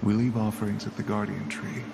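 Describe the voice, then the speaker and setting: Gravelly, solemn old village mentor. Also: male voice, on the low side, level tone, brisk. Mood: sorrowful. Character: solemn, regretful older village mentor and hunter. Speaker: character voice in a video game. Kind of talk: scripted video game dialogue.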